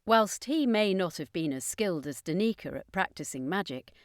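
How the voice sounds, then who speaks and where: A woman's voice: fairly low pitch, expressive, normal speed, no room sound, studio quality. A woman storyteller reading scripted narration of a fantasy adventure.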